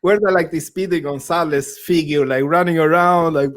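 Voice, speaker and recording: A man's voice: on the high side, steady tone, moderate pace. Chatty man hosting a video call. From Sweden. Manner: chatty, peppered with "like" and "you know". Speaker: host. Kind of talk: casual video-call interview.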